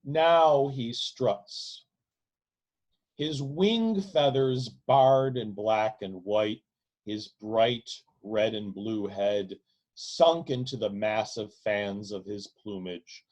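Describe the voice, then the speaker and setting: A man reading his own novel aloud in a dramatic literary style, with deliberate, emphatic delivery and repeated phrases. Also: lowish voice, a little mellow, rough recording.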